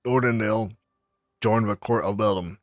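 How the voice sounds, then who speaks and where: Low, dry-miked male gamer. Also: steady tone, moderate pace, dry recording. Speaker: gamer reading the player character's lines. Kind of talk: let's play, reading dialogue aloud.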